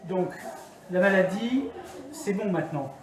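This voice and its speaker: A woman's voice: mid-range voice, unhurried, a crowd in the background. A woman working as a hospital doctor, explaining things in everyday spoken language. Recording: echoey room with faint noise.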